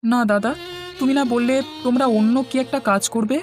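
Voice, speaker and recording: A humble, hardworking young man in a cartoon answers his bossy brothers meekly and politely, as a woman voices him. The voice sits fairly high for the role, with light music running beneath it. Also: fairly even intonation, moderate pace, darkish tone, lightly acted. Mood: pleading. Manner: polite, submissive. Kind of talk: cartoon dubbing.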